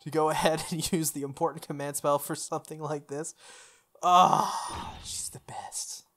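A game player narrates a visual novel aloud in a mid-pitched voice, mixing read narration with casual asides. Music runs under the close-miked voice. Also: fairly even intonation, a little slow, fairly bright tone.

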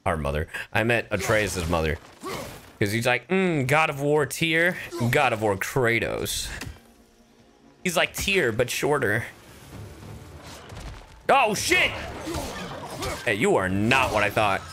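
A man's voice: mid-range voice, slow pace, pauses often, faint music behind. A man doing live gameplay commentary as a streamer, playful and freely swearing. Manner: animated, playful, profane. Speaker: VTuber game streamer.

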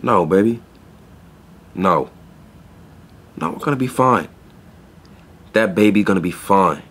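An actor playing an earnest, deadpan young pet store clerk in a podcast play. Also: male voice, fairly low pitch, takes it slowly, full character voice. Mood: earnest, reassuring. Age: young adult.

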